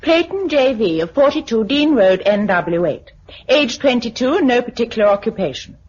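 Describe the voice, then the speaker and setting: Independent young British woman, husky. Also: fairly high pitch, animated, normal speed, darkish tone. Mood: cool, crisp. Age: 20s. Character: independent, self-possessed young Englishwoman. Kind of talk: 1950s British radio drama acting. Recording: muffled 1950s radio recording.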